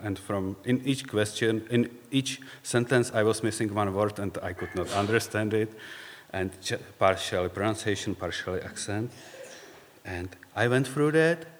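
A man with a Czech accent, speaking English as a second language, gives a reflective personal faith talk in halting, searching phrases. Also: fairly low pitch, a little hiss or hum. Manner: halting, searching for words, non-native phrasing. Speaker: speaker sharing faith story. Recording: long reverb, hall-like.

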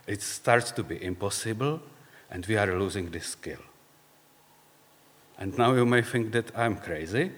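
A man with a Czech accent, speaking English as a second language, gives a reflective personal faith talk in halting, searching phrases. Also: medium pitch, a bit bright. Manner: halting, searching for words, non-native phrasing. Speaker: speaker sharing faith story. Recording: long reverb, hall-like.

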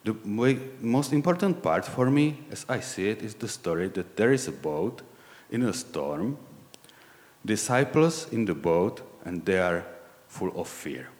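A man in his forties with a Czech accent, a lay preacher giving a sermon in a halting, candid way, often searching for words. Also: on the low side, animated, a little mellow, long reverb tail. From Czech Republic.

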